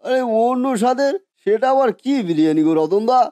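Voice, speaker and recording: Man putting on an excitable high-voiced village customer. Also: brisk, no echo. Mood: curious. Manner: exclamatory, incredulous, folksy. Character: excitable male village customer, nosy and easily amazed. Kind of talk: Bengali cartoon story voice acting.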